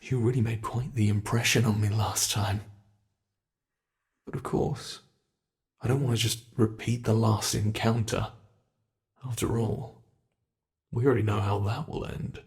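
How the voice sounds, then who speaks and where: A man playing a teasing, predatory monster in an intimate ASMR roleplay, speaking with playful menace and savored pauses, sometimes dropping toward a whisper. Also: lowish voice, steady tone, vocal fry, a little mellow. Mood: eager, predatory. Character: teasing predatory male monster who hunts humans.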